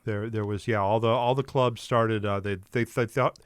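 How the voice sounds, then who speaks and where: Older man, low voice, rambling stories. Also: fairly even intonation, moderate pace, smooth, dry recording, studio quality. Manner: rambling storyteller with many fillers and restarts. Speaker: guest comedian. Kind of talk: casual podcast chat.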